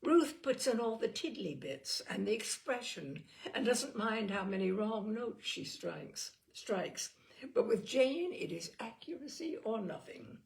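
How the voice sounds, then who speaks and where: A woman reading a brisk, opinionated young horsewoman in an audiobook, with wry, teasing affection. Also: fairly high pitch, sing-song, vocal fry, exaggerated voice. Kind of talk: audiobook character dialogue.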